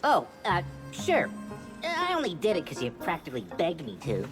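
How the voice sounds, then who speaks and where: A woman voicing a small, cowardly male forest creature in a video game, with an excitable, stammering, grumbling manner. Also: lowish voice, moderate pace, over music. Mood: grudging, hesitant. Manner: excitable, stammering, dramatic whining.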